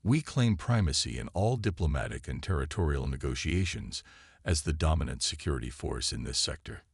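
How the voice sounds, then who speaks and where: A man's voice: a bass voice, expressive, fairly quick, husky, no room sound, studio quality, full character voice. A man voicing an aggressive, arrogant reptilian alien supreme commander in a sci-fi audiobook, with haughty proclamations. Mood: haughty, dismissive.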